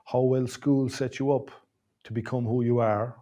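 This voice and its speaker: A man's voice: mid-range voice, flat delivery, moderate pace, mellow. An Irish man hosting an interview, probing and rephrasing his questions as he goes. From Ireland. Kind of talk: conversational interview.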